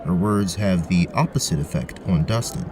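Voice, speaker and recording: Low-voiced man narrating fantasy. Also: normal speed, noisy background. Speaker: narrator, game master. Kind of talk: scripted fantasy story narration.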